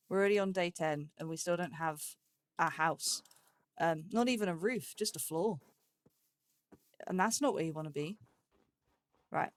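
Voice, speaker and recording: A woman's voice: fairly low pitch, no room sound. A woman giving casual, rambling gameplay commentary, thinking aloud with a playful, self-chiding manner. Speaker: let's play host.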